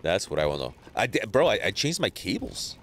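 A man streaming a game live, chatting casually with viewers and greeting them by name, sounding a little stuffy from allergies, on a boom mic. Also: a little fast, slight background noise. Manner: greets chat viewers, relaxed banter. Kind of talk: casual live gameplay chat.

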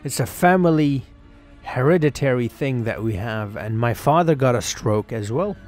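A man playing an excitable, earnest young schoolboy cricketer who tells his story conversationally, with emphatic pauses, in a dramatised game story. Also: medium pitch, faint music behind, lightly acted. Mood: earnest, reflective. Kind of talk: first-person dramatised narration over game cutscenes.

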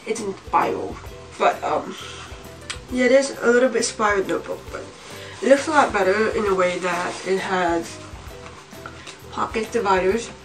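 A young woman of about eighteen with a slight cold, talking casually and haltingly to camera as she shows things in a room at home. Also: medium pitch. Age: young adult, about 18. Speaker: vlogger. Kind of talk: casual show-and-tell to camera. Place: small home room, indoors. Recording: distant mic, music behind.

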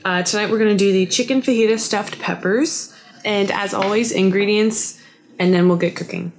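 A woman's voice: mid-range voice, moderate pace. Young woman reading recipe steps. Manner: steady, instructional. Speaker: home cook. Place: home kitchen, indoors.

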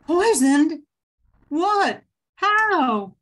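A woman's voice: very high-pitched, a bit bright, heavily acted. An older woman playing a homely, hospitable widowed grandmother in an amateur radio play. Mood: shocked, flustered.

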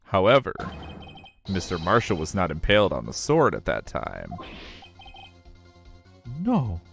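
A man plays a determined young defense lawyer from a courtroom game. He speaks low, firm and deliberate as he accuses, with music underneath. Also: huge pitch swings, vocal fry, full character voice. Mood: accusing.

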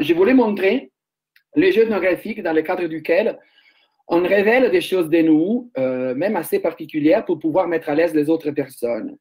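A man in his forties from Italy, speaking as a researcher and filmmaker on a round-table panel, hesitant with many pauses and restarts, over a webcam video call from home. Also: a little high, mellow, breathy edge. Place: room at home.

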